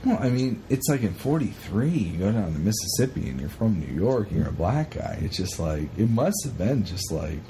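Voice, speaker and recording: A man co-hosting a podcast, chatting casually in an animated, rambling, sweary way as he retells stories. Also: lowish voice, moderate pace, hardly any pauses, background noise. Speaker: podcast co-host. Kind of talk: casual chat retelling a historical story.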